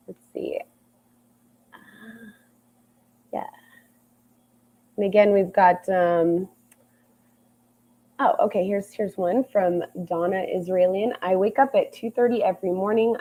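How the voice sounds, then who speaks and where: A woman's voice: medium pitch, steady tone, slow pace, pauses often. A woman joining a livestream by audio only as guest expert, answering viewer questions hesitantly with many ums. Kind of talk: casual Q&A over audio call. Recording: tinny phone-like audio line.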